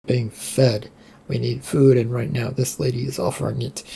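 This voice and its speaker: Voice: mid-range voice, flat delivery, normal speed, gravelly, dark tone, a little hiss or hum. A storyteller voicing an unsure, polite young man with a hesitant, placating manner. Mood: practical.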